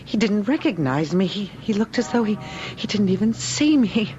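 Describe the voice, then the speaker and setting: An actress playing an anxious, devoted wife searching for her lost husband in an old-time radio drama, speaking in a halting, fervent way. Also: mid-range voice, big pitch swings, background noise, lightly acted. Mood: distraught, halting. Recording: muffled old radio, loud music behind.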